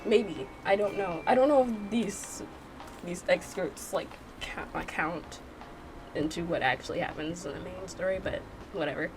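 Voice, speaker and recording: A woman's voice: fairly low pitch, moderate pace, noisy background. A woman hosting a gaming channel, casually reacting and narrating with playful, chatty asides. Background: music.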